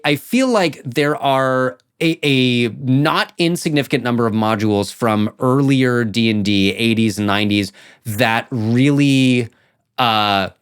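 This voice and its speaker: A man with a medium-pitched voice hosts a relaxed interview. He speaks conversationally and haltingly, circling around a point before he lands on it. It sounds unscripted, like thinking aloud with a guest. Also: clear, resonant tone. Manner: halting, circling toward his point, frequent agreeing interjections. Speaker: podcast host, interviewer. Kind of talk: casual interview chat.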